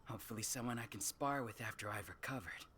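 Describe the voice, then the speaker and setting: An actor playing a keen wounded soldier in a video game. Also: male voice, mid-range voice, fast talker, bright, forceful tone, slight background noise, natural voice.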